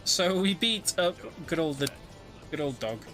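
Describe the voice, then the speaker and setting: Bright-voiced man commentating a video game. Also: a little high, animated, measured pace, soft background music. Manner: explanatory, narrates the story while playing. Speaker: speedrunner commentating own game run. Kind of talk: live gameplay commentary, casual.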